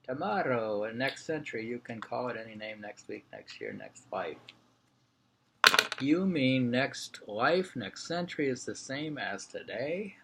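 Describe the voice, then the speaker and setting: A man's voice: medium pitch, very animated, a little slow, vocal fry, background noise. A man reading an interview aloud in an informal way. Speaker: reader of a printed interview.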